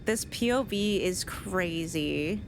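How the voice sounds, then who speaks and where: A woman's voice: fairly low pitch, animated, slow pace, gravelly, background noise. A woman streamer giving casual, musing, sweary live commentary on a game in an informal way. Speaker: streamer reacting to game cut-scenes. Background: faint game music.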